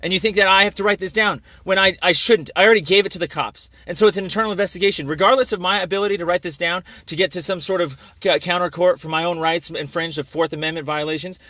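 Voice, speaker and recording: Male caller, high bright voice, agitated and rambling, argumentative phone complaint, very dry sound. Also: steady tone, quick pace, few pauses, no room sound. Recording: dry phone call recording.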